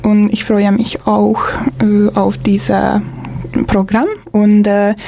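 A Hungarian-accented woman co-hosts a radio show in German. Her voice is mellow and warm, and she speaks hesitantly, stopping often for fillers. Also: mid-range voice, completely flat delivery, takes it slowly, vocal fry, slight background noise. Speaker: radio co-moderator. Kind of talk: casual radio intro.

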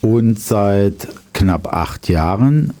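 A man in his fifties giving explanatory interview answers as a police road safety teacher, halting with frequent pauses. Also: on the low side, expressive, mellow, a little hiss or hum. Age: 50s.

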